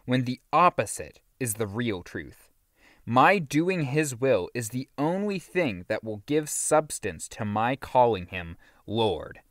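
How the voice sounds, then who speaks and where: A man narrating a religious teaching audiobook in an earnest, emphatic, deliberately phrased way. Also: mid-range voice, no echo, studio quality. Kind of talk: scripted audiobook reading of a religious teaching book.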